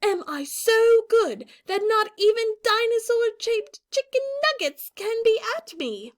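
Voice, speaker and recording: A woman's voice: very high-pitched, sing-song, a little slow, pitched above the performer's own voice, full character voice. A woman voicing a proud, fearless female Scissors warrior in a storybook, making grand, declamatory challenges. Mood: exultant.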